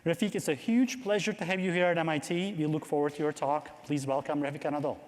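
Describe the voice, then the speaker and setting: A man introducing a keynote speaker in a measured, formal way from a lecture hall. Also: mid-range voice, steady tone, a little mellow. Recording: hall reverb.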